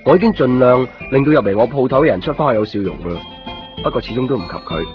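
A man's voice: medium pitch, animated, fast talker, lo-fi sound, lightly acted. A man playing a wistful shopkeeper, narrating his thoughts in a radio drama in understated Hong Kong Cantonese. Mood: wistful, reflective. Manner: reflective, understated. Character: wistful male neighbouring copy shop keeper narrating his thoughts. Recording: band-limited 1990s radio recording over music.

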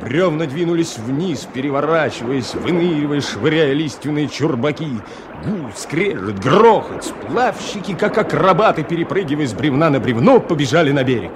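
A man's voice: on the high side, animated, normal speed, background noise, natural voice. A man acting as the storyteller of a radio drama, delivering scripted narration with dramatic feeling. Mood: tense, dramatic. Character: dramatic male storyteller of river adventure.

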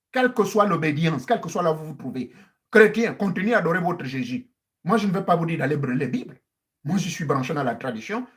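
A man, a traditional spiritual teacher preaching to his online followers. He stresses and repeats phrases, rising high in insistence and then dropping to a low murmur. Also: a bit bright.

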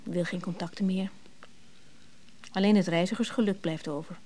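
A woman's voice: on the low side, steady tone, normal speed, mellow, slight background noise, close to an everyday voice. An actress playing an earnest, admiring woman in a radio drama, with a natural, slightly hesitant delivery. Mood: concerned.

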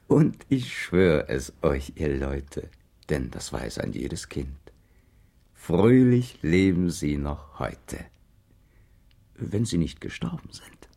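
Fairy-tale storyteller for a children's radio play: extremely low, creaky, theatrical rhymed delivery. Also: male voice, warm tone, full character voice.

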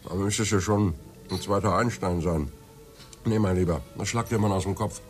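Radio drama: an actor plays a gruff, cutting friend with a very low voice. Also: male voice, normal speed, faint music behind, lightly acted. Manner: blunt, grumbling. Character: gruff, blunt pub friend.

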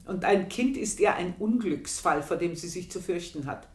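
A woman's voice: lowish voice, lively intonation, reverberant, lightly acted. An actress playing an earthy, proud, aging housemaid, confiding her memories in a radio play monologue. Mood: bitter resignation. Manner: confiding, unhurried storytelling with pointed pauses. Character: earthy, proud aging housemaid reminiscing about past desires.

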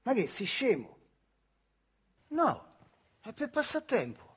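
An actor voicing scrappy, teasing thirteen-year-old village boys in a stage monologue, mocking and boastful, with a central Italian accent from the Marche. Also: male voice, very high-pitched, huge pitch swings, slow pace, fairly bright tone, breathy edge, in a large hall, pitched above the performer's own voice, heavily acted.

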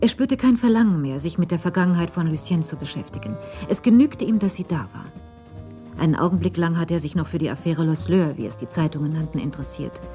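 Low, mellow woman narrator. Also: animated, soft background music. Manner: measured, literary delivery. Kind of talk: scripted crime drama narration.

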